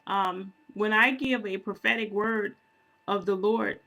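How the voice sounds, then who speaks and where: Slow-talking woman, clearing her throat often. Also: mid-range voice, steady tone, bright, forceful tone, low-quality recording. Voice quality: frequent throat clearing and filler pauses. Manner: unhurried, self-correcting, conversational. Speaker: prophetic minister and video channel host. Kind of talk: casual screen-share explanation to viewers.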